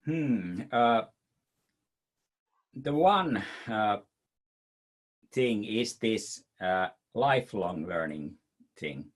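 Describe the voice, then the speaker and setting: A man with a Finnish accent answers webinar questions in a slow, unhurried way, stopping often for fillers and restarts. His voice sits a little low. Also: lively intonation. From Finland. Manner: hesitant, many fillers and restarts.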